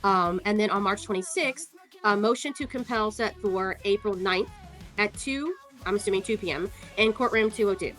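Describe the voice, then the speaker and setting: A woman's voice: mid-range voice, normal speed, fairly bright tone. Scornful, sarcastic woman over music. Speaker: online commentator. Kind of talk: casual commentary walking through court records.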